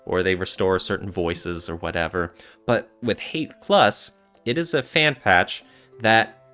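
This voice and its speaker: A man's voice: lowish voice, a bit bright. A man co-hosting a podcast, talking casually in an earnest, reflective way with some hedging. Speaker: podcast co-host.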